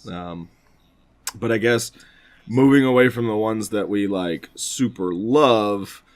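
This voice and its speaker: A man's voice: lowish voice, slow pace. A man co-hosting a casual podcast, talking in a rambling, informal way. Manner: rambling, informal, mild swearing. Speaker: podcast co-host.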